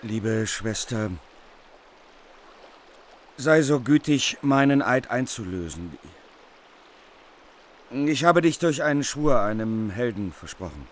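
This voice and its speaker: An actor playing a humiliated, anxious king with a plaintive, pleading manner in a literary radio drama. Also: medium pitch. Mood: solemn, gentle.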